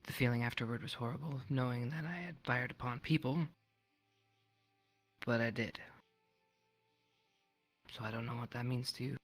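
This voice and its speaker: A man's voice: medium pitch, steady tone, moderate pace, long pauses, fairly bright tone, soft background music. A man playing an earnest, deferential novice adventurer in a fantasy roleplay, speaking respectfully and a little hesitantly. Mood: troubled, candid. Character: earnest, deferential male novice adventurer, former wall guard. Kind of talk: improvised fantasy roleplay in character.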